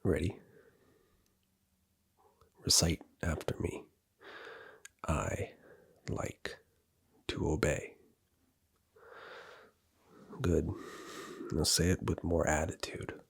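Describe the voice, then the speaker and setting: Man, ASMR roleplay: breathy, husky, bossy, teasing whisper up close. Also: very deep voice, flat delivery, very slow, pauses often. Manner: commanding and teasing, giving orders and points.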